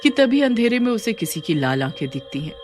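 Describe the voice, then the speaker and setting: A woman's voice: medium pitch, big pitch swings, a little fast, a little mellow, faint music behind. A woman giving a dramatic, scripted narration of a cartoon story.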